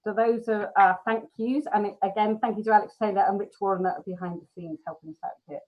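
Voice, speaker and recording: A woman's voice: mid-range voice, steady tone, quick pace, highs cut off. A woman hosting a casual live filmmaker Q&A, talking in a chatty, informal way.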